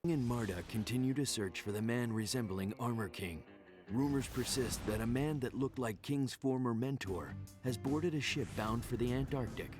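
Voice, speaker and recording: A man's voice: medium pitch, moderate pace, over music, lightly acted. A man reading scripted story narration for a video game.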